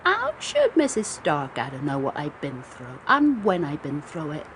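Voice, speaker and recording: A woman's voice: deep voice, wildly swooping pitch, normal speed, fairly bright tone, slight background noise, full character voice, poor audio. An actress playing a sullen, put-upon young hired girl in a radio drama, with a rural southern English accent and plaintive dialect speech. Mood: aggrieved. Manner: dialect-heavy, aggrieved, plaintive.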